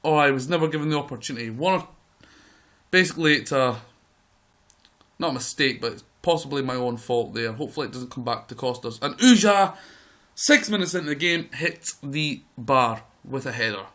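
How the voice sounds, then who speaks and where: A man with a croaky voice giving excitable live play-by-play commentary over a football management game. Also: medium pitch, brisk. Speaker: gaming video host.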